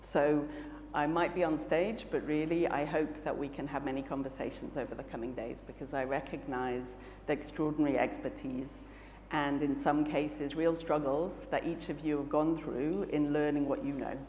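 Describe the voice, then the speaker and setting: Woman with a british-south african mix accent, low voice, giving a keynote in a big reverby hall. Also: fairly even intonation, normal speed, darkish tone, slight background noise. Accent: mixed British and South African. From Malawi. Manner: earnest, reflective, with frequent fillers and restarts. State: jet lagged. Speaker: keynote speaker. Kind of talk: conference presentation. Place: large conference hall on stage. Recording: echoey hall, faint ambience.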